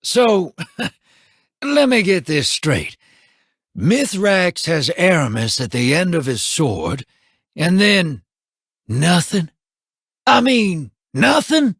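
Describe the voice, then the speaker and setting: Wry space rogue for a game, husky, slow drawl, pitch bouncing all over. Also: male voice, big pitch swings, fairly bright tone, dry recording, studio quality, exaggerated voice. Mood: incredulous, wry. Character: wry, roguish, easygoing space scoundrel man. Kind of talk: video game voice acting.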